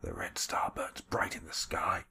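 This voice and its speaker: A man voicing an old male shaman who speaks in a breathy whisper. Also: unhurried, pushed and bright. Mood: prophetic. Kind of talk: audiobook character voice.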